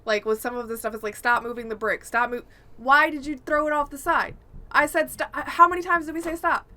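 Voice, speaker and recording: A woman co-hosting a gaming podcast, chatting casually and telling stories in an animated, exasperated way, acting out bits of dialogue. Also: high voice, brisk. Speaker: podcast co-host.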